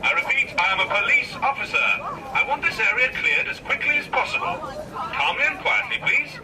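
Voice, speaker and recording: A stiff high-pitched police officer. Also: male voice, big pitch swings, brisk. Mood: commanding. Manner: stiff, formal announcing. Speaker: police officer clearing a street. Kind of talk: radio crime drama acting. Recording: outdoor street with loud crowd.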